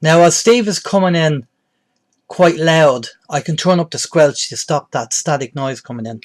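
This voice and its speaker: A man with a slightly high voice presents a practical how-to guide. His delivery is plain-spoken and explanatory, like a host walking beginners through each step. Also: normal speed. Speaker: presenter, radio tutorial host. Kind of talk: instructional tutorial narration.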